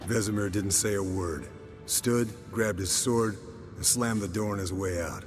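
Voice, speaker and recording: An actor playing an easygoing, teasing monster hunter in a fantasy video game, with a laid-back, wry manner. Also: male voice, fairly low pitch, a little slow, big-room sound, faint music behind, close to an everyday voice. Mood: amused, storytelling. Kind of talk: cinematic game voice acting.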